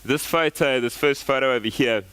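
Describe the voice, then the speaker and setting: A man's voice: mid-range voice, moderate pace, fairly bright tone, clean tone, rough recording. A South African man in his forties giving a casual, storytelling talk at a conference, with lots of fillers and restarts. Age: 40s. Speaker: guest speaker.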